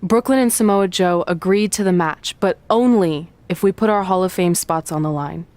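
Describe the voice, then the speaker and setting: A woman's voice: on the low side, moderate pace, a little mellow, no echo, lightly acted. A woman playing a determined, loyal pro wrestler in a video game cutscene. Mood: earnest.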